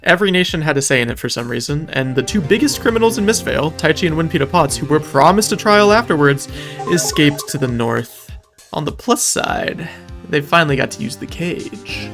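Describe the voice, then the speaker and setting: Man, creaky mid-range voice, scripted recap narration, wry and informal, soft guitar music behind. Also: fairly high pitch, animated, fairly quick. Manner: wry, informal, mock-epic storytelling. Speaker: video narrator.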